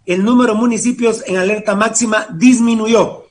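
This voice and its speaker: Man, high voice, Guatemalan accent, sports talk host, informal, irreverent, swears freely. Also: steady tone, normal speed. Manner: irreverent, slangy, profane. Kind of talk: informal radio-style sports talk with co-hosts.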